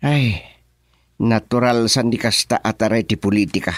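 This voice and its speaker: An actor playing an eager, talkative political underling in a radio comedy-drama, emphatic and exclamatory, with an Ilocano accent. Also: male voice, on the low side, normal speed, dry recording, studio quality, lightly acted. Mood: insistent.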